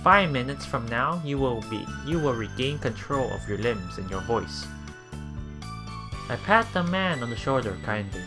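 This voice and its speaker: Halting low-voiced man reading a visual novel. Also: lively intonation, music in the background. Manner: halting, stumbles and repeats words while reading. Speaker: let's play host. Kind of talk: reading visual novel text aloud with casual asides.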